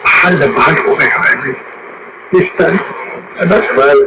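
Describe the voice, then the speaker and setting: A man's voice: fairly high pitch, moderate pace, gravelly. A man with a Filipino accent acting a meek, anguished jailed father pleading his innocence in a radio drama. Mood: tearful longing. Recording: noisy radio drama broadcast.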